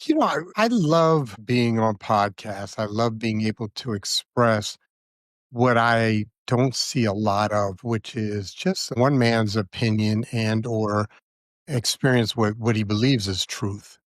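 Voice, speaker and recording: A man in his sixties talking as a podcast guest, earnest and measured, with many fillers. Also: fairly low pitch, dry recording. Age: 60s. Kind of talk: reflective conversational interview.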